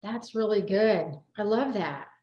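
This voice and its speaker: A woman's voice: medium pitch, lively intonation, a little slow. A woman hosting a casual group chat, encouraging and affirming as she moves between guests. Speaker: host moderating a group chat. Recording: echoey room, muffled dull sound.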